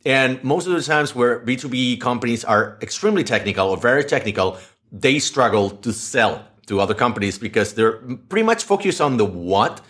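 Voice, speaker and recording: A man's voice: mid-range voice, animated, brisk, a bit bright. A man hosting a tech podcast, interviewing a guest in a chatty way with many restarts and fillers. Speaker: podcast host. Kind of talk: casual interview. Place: Madrid, Spain.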